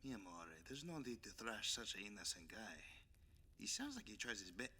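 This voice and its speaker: Audio roleplay: flirtatious masked Spanish vigilante, very bright, sharp voice, Spanish accent, cocky banter, lively swings in pitch. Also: male voice, fairly quick, slightly rough, exaggerated voice. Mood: smug, sly. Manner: theatrical, bantering. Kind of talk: ASMR roleplay audio drama.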